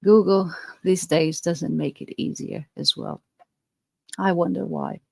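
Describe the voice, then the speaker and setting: Brief: Portuguese-accented woman podcast host, slow and earnest, low, dry close recording. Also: fairly even intonation, vocal fry, no echo. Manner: earnest, explanatory, with fillers and restarts. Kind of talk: podcast monologue.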